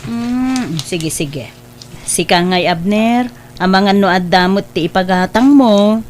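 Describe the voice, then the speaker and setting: An actress playing a kindly middle-aged aunt in an audio drama, speaking in a caring, encouraging way. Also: female voice, medium pitch, takes it slowly, lightly acted. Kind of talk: Ilocano audio drama acting.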